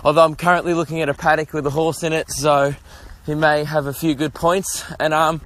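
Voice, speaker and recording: A man's voice: fairly high pitch, level tone, fairly quick, a bit bright. An Australian man hosting his podcast while walking, out of breath, chatting casually in a rambling, jokey, self-mocking way. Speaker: podcast host. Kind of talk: casual walking vlog chat. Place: outdoors, walking along suburban roads near traffic.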